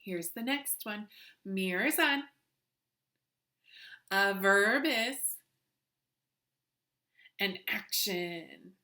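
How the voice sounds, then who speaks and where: Lady teacher doing an online kids lesson, bright clear-cut voice, takes it slow, encouraging and asks lots of questions. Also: mid-range voice, lively intonation. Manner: encouraging, simple instructional phrasing with questions and repeats. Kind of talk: interactive children's lesson, addressing viewers through the screen.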